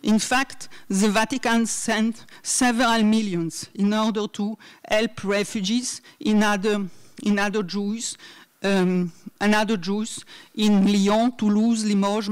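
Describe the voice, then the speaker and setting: A woman's voice: medium pitch, steady tone, takes it slowly, clean tone. A middle-aged woman giving a conference talk from notes at a university hall, hesitant with many fillers, speaking into a podium mic. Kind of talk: academic talk read from notes. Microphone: desk mic on a stand.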